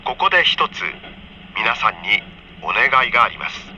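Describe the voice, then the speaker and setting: A man reading a scripted onboard train announcement for children in friendly, polite, clearly enunciated phrases, heard over a train PA. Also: on the low side, big pitch swings, moderate pace, sharp tone. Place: train car, over the PA system. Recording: dull train PA with faint noise.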